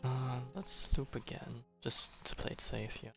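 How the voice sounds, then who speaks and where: Gravelly man casually streaming a game. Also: mid-range voice, flat delivery, a little slow, pushed and bright, faint music behind. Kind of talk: casual live gameplay commentary.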